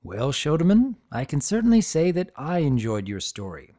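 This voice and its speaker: A man's voice: fairly high pitch, wildly swooping pitch, normal speed, warm tone. A man hosting a story reading, delivering scripted commentary in an earnest, emphatic manner. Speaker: fan fiction reader and channel host.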